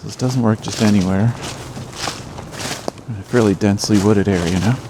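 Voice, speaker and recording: Low-voiced man narrating a nature outing. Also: moderate pace, noisy background. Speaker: birding podcast host. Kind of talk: hushed narration and field commentary for a nature audio show.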